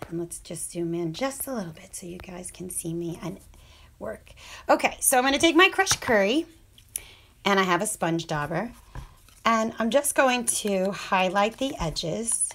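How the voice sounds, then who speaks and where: A woman with a scratchy voice talks through a paper-craft project at a slow, unhurried pace. She chats casually, apologizes often and murmurs half to herself as she works. Faint clicks of tools and paper sound behind her. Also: mid-range voice, gravelly, fairly bright tone. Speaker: crafting demonstrator. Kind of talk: casual hands-on craft tutorial.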